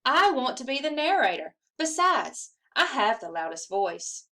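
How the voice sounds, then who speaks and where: A woman reads as an assertive young schoolgirl from a children's storybook. The voice is high, and every line is pushed out with bossy insistence. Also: very animated, unhurried, bright, forceful tone, heavily acted. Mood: insistent. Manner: emphatic, insistent.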